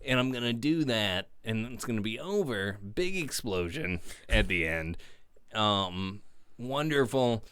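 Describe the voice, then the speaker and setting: A man's voice: lowish voice, lively intonation, a little slow, fairly bright tone, smooth, dry recording. A man co-hosting a casual podcast, riffing wryly and telling long comic bits. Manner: wry, riffing storyteller. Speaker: co-host.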